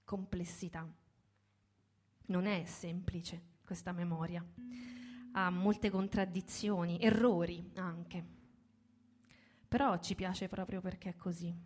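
A woman's voice: medium pitch, a little mellow. Woman in her 30s hosting a stage reading, thoughtful, stops and restarts as she goes. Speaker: host and presenter of a live reading. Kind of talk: introducing a live theatrical reading.

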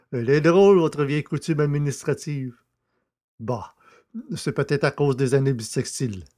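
An actor playing a good-natured, cheeky country poacher in an audio comedy, artless and deferential yet sly. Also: male voice, mid-range voice, unhurried, a little mellow, lightly acted. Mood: artless, amused. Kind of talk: French radio comedy acting.

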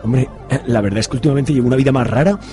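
A man playing a cheerful, camp, confiding young man in a comic radio soap, husky, with an accent from Spain. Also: mid-range voice, rapid-fire, mellow, faint music behind, lightly acted. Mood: confiding, rueful. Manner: confiding, chatty.

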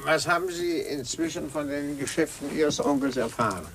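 An actor playing a brisk male employee who deliberately recounts a dead boss's routine, in 1950s German radio drama. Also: on the high side, fairly bright tone. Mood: businesslike, inquiring. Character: brisk male employee guiding the heir through his uncle's routine. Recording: noisy 1950s radio recording.

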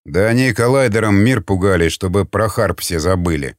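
A man voicing a vexed, grumbling councilman in an audiobook, muttering in a low-key way. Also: expressive, a little fast, no echo, clean studio sound, close to an everyday voice. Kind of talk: audiobook character acting.

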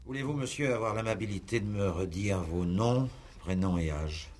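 An actor playing a stern, impatient examining magistrate in a 1960s radio play, curt and condescending. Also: male voice, fairly low pitch, moderate pace, music in the background, close to an everyday voice. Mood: brisk, formal. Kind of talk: 1960s radio drama acting.

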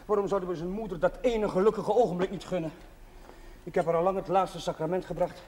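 Earnest, higher-voiced chaplain played by an actor. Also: male voice, steady tone, darkish tone, close to an everyday voice. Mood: earnest, pleading. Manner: pleading, measured, sincere. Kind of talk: 1970s Dutch television drama acting. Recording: faint noise on old TV audio.

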